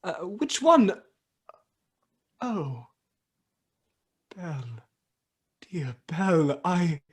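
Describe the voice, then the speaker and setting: A woman playing an earnest, wistful man with a husky voice in an amateur radio play. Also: on the low side, very animated, very slow, heavily acted.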